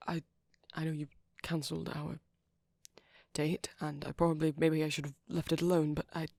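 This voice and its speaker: A shy young vampire boyfriend, acted by a man speaks in a soft, husky near-whisper with a creaky edge. He is gentle and halting, full of tender apology. The sound is dry and intimate, in the manner of an ASMR roleplay. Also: a little high, little pitch movement, no room sound. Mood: shy, apologetic.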